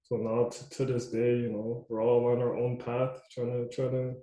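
A man's voice: medium pitch, little pitch movement, moderate pace, mellow, reverberant. A young man coaching youth basketball, talking casually in a loose, repetitive way. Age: young adult. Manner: loose, repetitive, says "you know what I mean" a lot. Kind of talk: casual podcast chat.

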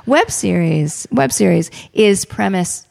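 A woman co-hosting a podcast, chatting casually and answering listener questions with animated, swooping emphasis. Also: mid-range voice, takes it slowly, gravelly. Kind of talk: casual chat.

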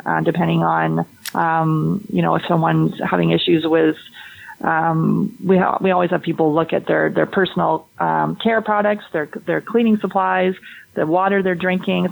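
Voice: mid-range voice, level tone, normal speed, creaky, poor audio. A fertility health practitioner explaining testing and diet in a conversational interview, often restarting and repeating words.

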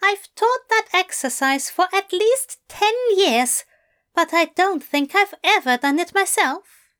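Fussy, earnest gentleman angel, put on by a woman, high and animated. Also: takes it slowly, full character voice. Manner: bright, fluttery, earnest.

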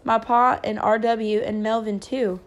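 A man's voice: high-pitched, level tone, unhurried. A woman voicing an earnest, slightly puzzled country boy in an audiobook. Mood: earnest, matter-of-fact. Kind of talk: audiobook character dialogue.

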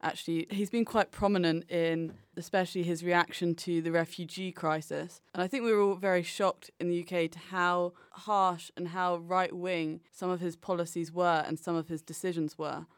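A woman hosting a current affairs podcast, reading her intro and asking measured questions. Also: lowish voice, smooth, no echo, clean studio sound. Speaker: podcast host.

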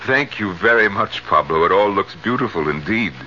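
A man's voice: on the low side, animated, normal speed, dull audio. An actor playing a middle-aged man in love who narrates his own story in a radio drama, articulate and dramatic. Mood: genial, affectionate. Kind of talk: first-person radio drama narration and dialogue. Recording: band-limited 1970s radio broadcast with loud music.

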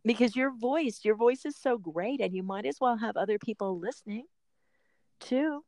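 A woman's voice: fairly high pitch, normal speed, darkish tone, no echo. Chatty laughing woman, dry close sound. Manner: chatty, laughs often, rambling asides. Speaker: podcast host and personal trainer. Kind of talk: casual podcast chat.

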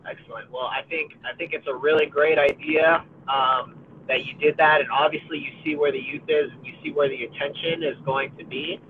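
A young American college student and podcast co-host chatting casually in a friendly, upbeat way, with many fillers and restarts. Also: male voice, fairly high pitch, fairly even intonation, brisk, phone-quality sound, slight background noise. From United States. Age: college age. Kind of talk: casual conversation.

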